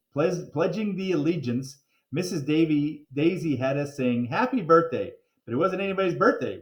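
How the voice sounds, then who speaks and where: A man reading a children's story aloud in a playful, expressive way with theatrical emphasis. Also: on the high side. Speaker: reader of children's book. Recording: roomy, echoing room.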